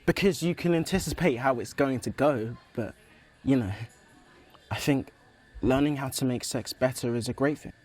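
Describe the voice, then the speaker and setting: A man's voice: mid-range voice, normal speed, a little mellow, natural voice. An actor playing an earnest, open young religious man in a naturalistic radio play, explaining himself thoughtfully. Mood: thoughtful, candid.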